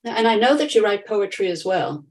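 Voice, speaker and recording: A woman's voice: medium pitch, expressive, moderate pace, darkish tone. A woman hosting a podcast, introducing and questioning in a conversational interview style. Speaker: podcast host, nonprofit founder.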